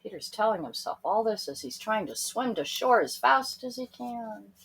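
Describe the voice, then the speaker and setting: A woman's voice: medium pitch, lively intonation, normal speed, fairly bright tone, slight background noise. A woman reading a children's story aloud expressively, speaking to her young listeners in asides.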